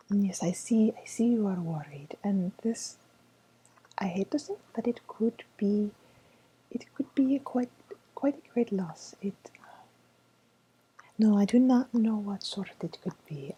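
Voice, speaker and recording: A woman playing a soft-spoken fortune teller in an ASMR role-play, with a made-up foreign accent and a gentle, hesitant, whispery delivery. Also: mid-range voice, slightly rough, full character voice, low-quality recording. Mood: grave, hesitant. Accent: made-up, indeterminate foreign. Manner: slow, hesitant, gently reassuring, with pauses. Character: softly spoken mystical fortune teller woman reading tarot cards.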